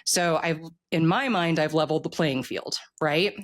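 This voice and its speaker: An author with an American accent talking casually in an interview, explaining opinions in an animated way and often checking in with the listener. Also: medium pitch, normal speed, no echo, clean studio sound.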